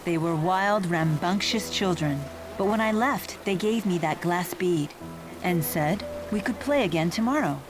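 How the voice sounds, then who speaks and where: A woman's voice: lowish voice, unhurried, over music, lightly acted, low-quality recording. A woman playing a dignified, earnest spirit lord in a video game, composed, formal and matter-of-fact. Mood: wistful, composed.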